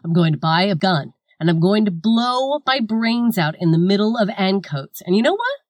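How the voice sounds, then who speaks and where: A woman's voice: mid-range voice, sing-song, moderate pace, dry recording. A woman playing a scathing, foul-mouthed comedian in a scripted comic argument, with a sarcastic, cutting delivery. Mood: exasperated. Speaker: guest comedian in a scripted satire sketch.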